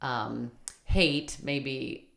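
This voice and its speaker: A woman in her late thirties with a low-pitched voice talks casually on a podcast. She rambles easily, leaning on fillers. She keeps agreeing with her co-host. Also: creaky, fairly bright tone. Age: 30s. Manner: chatty, lots of fillers and quick agreement. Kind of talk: casual chat.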